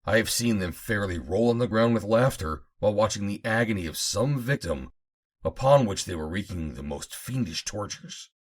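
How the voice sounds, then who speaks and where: A man reading an adventure novel aloud as an audiobook narrator, in a measured, formal storytelling manner. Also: lowish voice, animated. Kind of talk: dramatic scripted narration of an old adventure novel.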